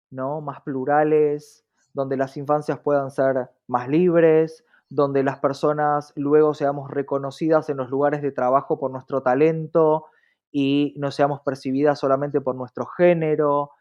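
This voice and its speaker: A man with an Argentine accent and a fairly high-set voice answers as an interview guest. He speaks in an earnest, reflective way and often adds little tag questions. The sound is a bit dull and lo-fi. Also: darkish tone. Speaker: interview guest, diversity activist.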